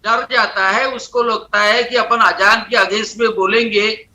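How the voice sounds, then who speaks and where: A man with a high, sharp, bright voice argues insistently, pushing on while others cut in. His voice rings in an echoey room. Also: flat delivery, a little slow. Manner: insistent, talks over others. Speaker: political panelist. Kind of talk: TV debate argument.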